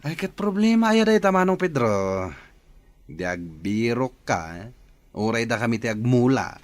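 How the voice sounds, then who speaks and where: A man's voice: medium pitch, very animated, moderate pace, no echo, close to an everyday voice. A man with an Ilocano accent playing an eager, scheming village man with modest dreams in a radio comedy-drama. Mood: eager, persuasive.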